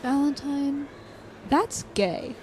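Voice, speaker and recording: An actress playing a skeptical, teasing young college student in an audio drama, casual in manner. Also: female voice, sits high, takes it slowly, dark tone, a little hiss or hum. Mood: teasing, friendly.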